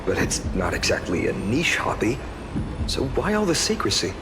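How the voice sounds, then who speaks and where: An actor playing a friendly, teasing young warrior man in an anime-style video game, wistful and skeptical, in a conversational, expressive way. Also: fairly low pitch, normal speed, background noise. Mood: teasing, curious.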